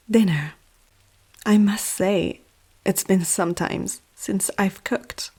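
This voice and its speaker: A woman voicing a powerful, teasing female vampire noble in an ASMR roleplay, speaking softly and often close to a whisper, with an aristocratic, self-assured manner. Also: on the low side. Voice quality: soft, breathy, often near whisper. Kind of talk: ASMR roleplay addressed to the listener.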